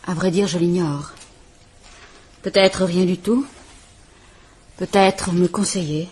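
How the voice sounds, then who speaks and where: A frightened, formal wife. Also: female voice, on the low side, fairly even intonation, darkish tone, slightly rough. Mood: fearful, measured. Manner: formal, measured, restrained speech. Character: frightened estranged wife, stiff and proper. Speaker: visitor at the police station. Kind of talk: 1950s French radio drama acting.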